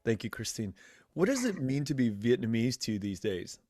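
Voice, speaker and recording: A man's voice: mid-range voice, very animated, darkish tone, no echo. Guy hosting a podcast, super dry close mic, bit of music under it. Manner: measured, curious questioning. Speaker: podcast host. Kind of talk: interview host with scripted intro.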